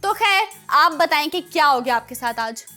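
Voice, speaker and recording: A woman hosting a children's show, chatting in an animated way with playful scolding for her young audience. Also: high voice, moderate pace, faint music behind.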